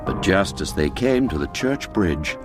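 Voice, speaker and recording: A man narrating a classic ghost tale in a theatrical, expressive storytelling manner, dwelling on the darker words. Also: low-pitched, unhurried, over music.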